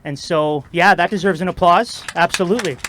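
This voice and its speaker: A man's voice: sits high, fairly quick, noisy background. A man moderating an informal outdoor debate, giving upbeat, rallying opening remarks to a crowd.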